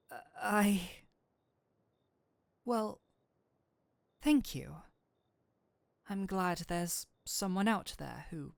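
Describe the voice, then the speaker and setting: A woman's voice: mid-range voice, no room sound, studio quality. A woman playing a nerdy male incubus demon in an intimate audio roleplay, speaking to the listener in formal, theatrical wording with a flustered, courteous, flirtatious manner. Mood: bashful.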